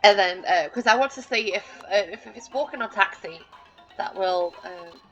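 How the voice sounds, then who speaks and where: A woman's voice: mid-range voice, moderate pace, a bit bright, dull audio, faint music behind. A woman casually narrating her life-sim gameplay, rambling with many fillers and restarts, and poking fun at herself. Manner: self-deprecating, lightly laughing. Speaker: let's play gamer.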